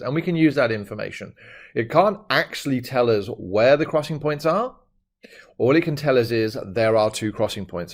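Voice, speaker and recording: Chatty, emphatic male maths teacher. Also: medium pitch. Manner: chatty, jokey, emphatic explaining. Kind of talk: recorded maths lesson.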